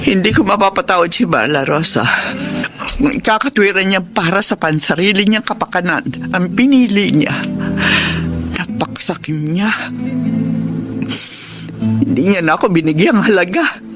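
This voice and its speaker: A woman's voice: fairly low pitch, expressive, moderate pace, pauses often, music in the background, lightly acted. An actress playing a middle-aged, bitter mother in an emphatic, accusing radio melodrama style. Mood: bitter, unforgiving. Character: bitter, wounded mother who refuses to forgive her daughter. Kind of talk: Tagalog radio melodrama acting.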